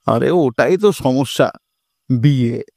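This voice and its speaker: A man's voice: medium pitch, takes it slowly, creaky, dark tone, no room sound. A man playing an anxious, lovesick young man in an audio story, hesitant and complaining. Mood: dejected. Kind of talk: audio story character acting.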